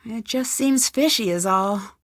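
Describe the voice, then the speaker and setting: A woman's voice: fairly high pitch, measured pace, dry recording, studio quality. An actress playing a polite, inquisitive young woman detective in a video game, with an earnest, probing way of talking. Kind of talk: video game character dialogue.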